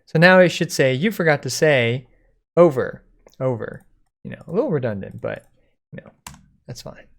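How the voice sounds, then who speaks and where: A man giving a casual software code-along, thinking aloud informally as he types. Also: a little high, lively intonation, moderate pace. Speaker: software tutorial instructor.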